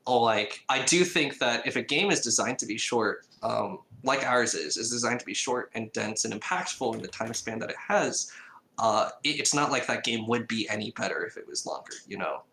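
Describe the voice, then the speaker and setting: A man with a lot of vocal fry and a sharp, bright edge, recorded in an echoey room. He talks casually as an interview guest, halting and circling back as he explains. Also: medium pitch, animated, a little hiss or hum. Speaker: indie game developer, podcast guest.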